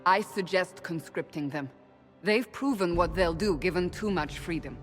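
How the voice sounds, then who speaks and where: An actor playing a stern, distrustful adviser in a fantasy video game. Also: male voice, high voice, moderate pace, a bit bright. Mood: disapproving. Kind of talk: video game cutscene acting. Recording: echoing hall, music behind.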